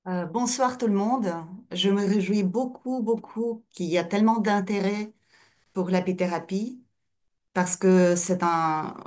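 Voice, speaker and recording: A woman's voice: on the low side, darkish tone. A middle-aged woman, giving an introductory lecture as a guest speaker, hesitating as she searches for words. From Russia and Ukraine.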